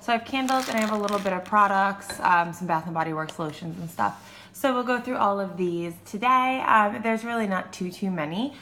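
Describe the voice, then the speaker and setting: A woman's voice: mid-range voice, brisk, sharp tone. A young woman talks to her camera, her nose stuffed up and her throat sore, her sentences loose and full of "like" and "um". The room around her echoes, with a faint hum underneath. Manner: chatty, lots of "like" and "um". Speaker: beauty vlogger. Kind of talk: casual chat.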